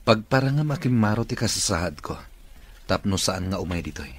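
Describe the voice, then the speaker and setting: A man's voice: deep voice, lively intonation, brisk. An actor playing a firm, commanding male boss in an Ilocano radio drama, speaking with an Ilocano accent. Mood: commanding, resolved.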